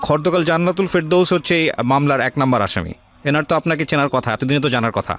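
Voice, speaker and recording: Man, Bangladeshi accent, radio host, fast delivery, slightly high pitch, probing questions, measured and persistent. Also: a bit bright, slight background noise. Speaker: radio show host interviewing. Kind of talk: probing interview. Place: radio studio.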